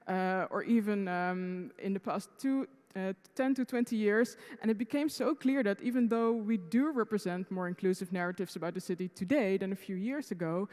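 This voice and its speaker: A woman museum panelist giving a prepared, measured and reflective talk at a conference. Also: medium pitch, darkish tone. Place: conference hall. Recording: reverberant conference hall.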